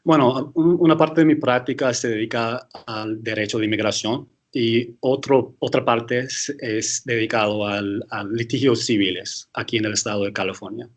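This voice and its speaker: A man's voice: medium pitch, fairly even intonation, moderate pace, few pauses. Hesitant American man, speaking Spanish. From United States. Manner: hesitant, self-correcting, with frequent restarts. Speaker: attorney, guest lecturer. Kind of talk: interview answers.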